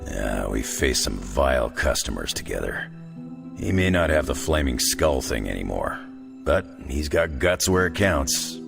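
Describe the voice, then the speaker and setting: An actor playing a gruff, wry veteran fighter in a video game, with a gravelly voice and a laconic, folksy, sardonic way of talking. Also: male voice, very deep voice, big pitch swings, unhurried, music in the background, full character voice. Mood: dry, sardonic. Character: gruff, wry veteran fighter, rugged middle-aged man. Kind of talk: video game cutscene dialogue.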